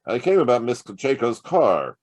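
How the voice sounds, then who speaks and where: A man's voice: mid-range voice, very animated, normal speed, a little mellow. An American man playing a wry, sardonic hard-boiled private detective in a noir audio drama. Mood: sardonic, cool. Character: hard-boiled, wisecracking male private detective, narrating his case.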